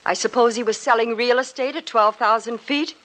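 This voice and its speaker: An actress playing a cold, bitter, unforgiving wealthy wife in a 1950s radio drama. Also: female voice, fairly high pitch, fairly quick, fairly bright tone, close to an everyday voice. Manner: cold, clipped.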